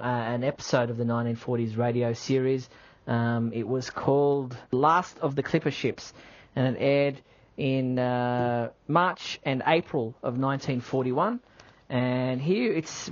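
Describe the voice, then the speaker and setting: A man co-hosting a radio show, chatting casually. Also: medium pitch. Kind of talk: casual talk show chat. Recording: dull lo-fi audio.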